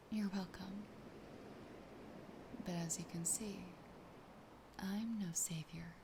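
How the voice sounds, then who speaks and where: A woman voicing a sassy, possessive, lonely siren in a soft, breathy, often whispered ASMR roleplay, slow, teasing and intimate. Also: mid-range voice, noisy background. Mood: teasing, gloating. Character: possessive, sassy, lonely female siren toying with her catch.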